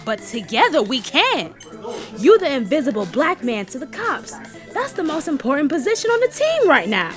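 An actress playing a brash, persuasive American hustler in an audio buddy comedy, with slangy, swaggering, teasing talk. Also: female voice, high-pitched, wildly swooping pitch, normal speed, faint music behind. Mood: persuasive, cocky. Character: brash, persuasive woman hustler urging friend into crime.

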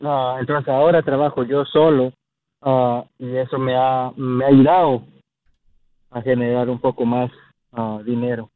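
A man's voice: medium pitch, flat delivery, unhurried, dry recording. A man in his early thirties with a Guatemalan accent, calling in and speaking casually and hesitantly. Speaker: caller. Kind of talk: casual phone conversation. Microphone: phone call. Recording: tinny narrow phone line.

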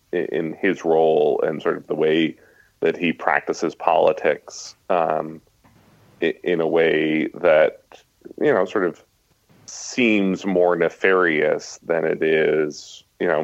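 A man speaking as a journalist guest, analysing politics conversationally with halting, stuttered restarts and many fillers. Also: deep voice, vocal fry, no echo, highs cut off. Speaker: journalist and author, podcast guest.

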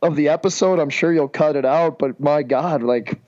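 A man's voice: fairly high pitch, normal speed, a little mellow, muffled recording. A man co-hosting a hockey podcast, jokey and boastful, full of casual slang. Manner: jokey, boastful, says dude and bro. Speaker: podcast co-host, former college player.

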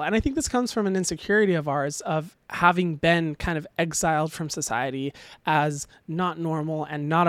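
A young man with a high voice, hosting a podcast and giving a casual, animated opinion monologue full of ums and little laughs. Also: no echo. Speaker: podcast host.

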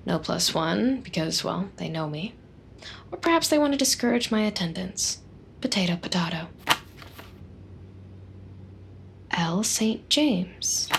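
A woman's voice: lowish voice, lively intonation, gravelly, slight background noise. An actress playing a lonely, nosy, awkward woman who works as a data analyst, in a scripted audio drama. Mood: wry, brooding. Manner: awkward, halting, wry, muttering to herself. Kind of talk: scripted audio drama, dialogue and inner monologue.

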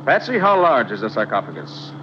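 A man in a 1940s radio play acting a confident detective who lays out the case in brisk, self-assured explanations. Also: mid-range voice, big pitch swings, noisy background, lightly acted. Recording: dull old radio broadcast.

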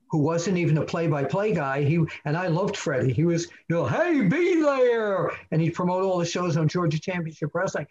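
A man with a slightly high voice tells stories on a podcast and laughs often. His audio sounds slightly dull and muffled. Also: darkish tone. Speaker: co-host, former magazine editor. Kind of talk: casual podcast chat.

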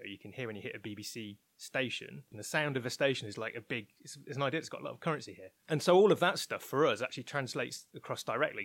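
Bloke being interviewed, medium pitch, thinks out loud and restarts, really dry recording. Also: male voice, no echo. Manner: thoughtful, hedging, often restarts mid-phrase. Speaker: interviewed research and development head. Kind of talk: conversational interview.

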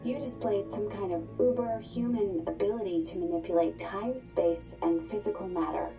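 An actress playing a clinical robot nurse in an audio drama, speaking in precise, formal, detached sentences. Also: female voice, mid-range voice, normal speed, music in the background, lightly acted, poor audio. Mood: clinical, matter-of-fact. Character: clinical female robot nurse, literal and analytical.

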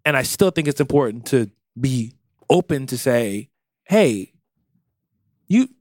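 Male podcast co-host, earnest and lively, dry close-mic sound. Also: medium pitch, a little slow, no echo. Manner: earnest, animated, acts out quoted voices. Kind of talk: casual podcast conversation.

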